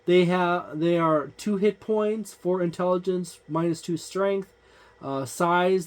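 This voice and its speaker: A reviewer giving a casual page-by-page overview of a game book, listing entries in a halting way with frequent fillers and restarts. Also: medium pitch, a little mellow. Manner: offhand, informal wording.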